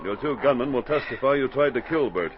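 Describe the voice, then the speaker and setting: A man playing a steadfast police sergeant in a 1940s radio drama, firm, commanding and clearly spoken. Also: mid-range voice, expressive, brisk, natural voice. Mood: firm, resolute. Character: steadfast male police sergeant of the frozen Yukon. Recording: dull 1940s radio recording, noisy.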